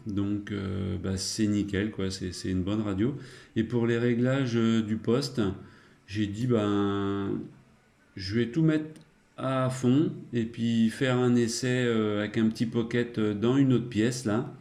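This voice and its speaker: A man's voice: lowish voice, a little mellow. A man chatting casually as a radio operator, in a rambling, hesitant way. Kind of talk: casual radio contact chat.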